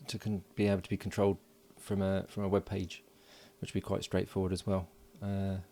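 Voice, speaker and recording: A middle-aged man narrating his electronics build at a home workbench, relaxed and filling pauses with ums and uhs. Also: sits low. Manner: relaxed, thinking aloud with many fillers. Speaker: electronics hobbyist.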